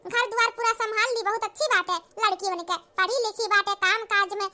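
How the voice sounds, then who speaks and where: A woman's voice: very high voice, fairly even intonation, brisk, pushed and bright. A woman playing a scornful, scheming elderly village mother-in-law in a cartoon comedy, with an Awadhi accent and a scolding manner. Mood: coaxing, scheming. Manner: scolding, disparaging.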